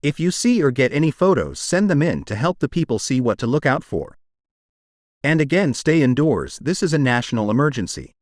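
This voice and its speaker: A man's voice: medium pitch, very animated, brisk, dry recording, studio quality. A man delivering scripted emergency alert narration as a broadcast announcer.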